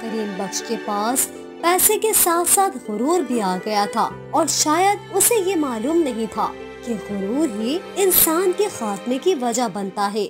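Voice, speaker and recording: A woman's voice: on the high side, very animated, unhurried, over music. A woman reading scripted narration for a cartoon moral story.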